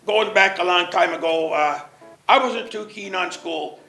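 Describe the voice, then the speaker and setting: Older man, seventies, plain reminiscing talk, high bright voice, echoey room. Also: measured pace. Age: 70s. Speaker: longtime local resident. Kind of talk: interview recollection.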